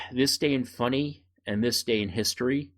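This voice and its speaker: A man's voice: medium pitch, normal speed. A man chatting casually as a podcast co-host, in a rambling, self-deprecating way.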